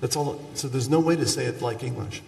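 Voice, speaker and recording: A man delivers an academic lecture in a halting, explanatory way, often breaking off to find the right word. His voice sits at an average male pitch. It is picked up from a distance in a large, echoing hall with long reverb. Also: vocal fry, a little mellow. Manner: halting, searching for words, explanatory asides. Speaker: linguistics lecturer. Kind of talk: academic lecture with example sentences. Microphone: far from the speaker. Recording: echoey hall, distant microphone.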